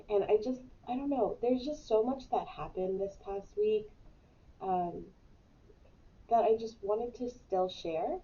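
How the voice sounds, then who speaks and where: A woman's voice: on the low side, dull audio. Congested woman chatting on a live stream. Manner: chatty, rambling, with fillers. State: congested with a cold. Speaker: host of a weekly live business show. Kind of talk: casual live-stream chat.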